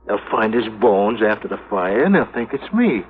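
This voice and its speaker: Through a very thin old radio band comes a small-time crook. He is anxious and scheming, urgent and stammering, his pitch jumping about. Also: sing-song, normal speed, a little hiss or hum. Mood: eager, scheming. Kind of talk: 1950s radio drama acting. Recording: narrow-band 1950s radio recording.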